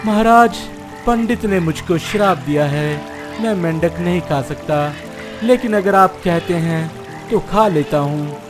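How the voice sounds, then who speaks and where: A man's voice: fairly high pitch, measured pace, close to an everyday voice. An actor voicing a sly old male snake in a cartoon moral story, speaking in a deferential, wheedling manner. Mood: weary, pleading. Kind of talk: animated Hindi moral story dialogue. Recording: music behind the voice.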